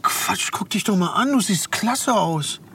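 A male tenant in a crime re-enactment, intimate and full of flattery. His voice is quite high for a man, with faint outdoor noise around it. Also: a little slow, exaggerated voice. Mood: flattering, tipsy affection.

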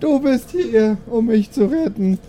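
A man playing a groggy, good-natured dwarf prisoner with a bewildered, halting manner in an improvised radio play. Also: very high voice, expressive, mellow, slight background noise, pitched above the performer's own voice. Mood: surprised, relieved.

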